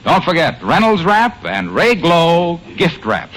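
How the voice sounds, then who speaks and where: Bright, animated American radio announcer man. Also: a little high, takes it slowly, low-quality recording. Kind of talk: 1950s radio announcing, scripted. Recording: old radio broadcast recording. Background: music.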